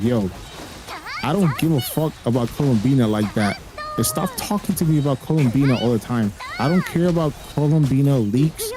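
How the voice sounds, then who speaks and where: A young man, a native Spanish speaker, talks casually in a blunt, slangy way and swears freely. His voice sits at an ordinary pitch for a man. Game music plays clearly behind him. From raised by a Spanish-speaking family, living in the US. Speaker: gaming streamer. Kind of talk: casual streaming chat.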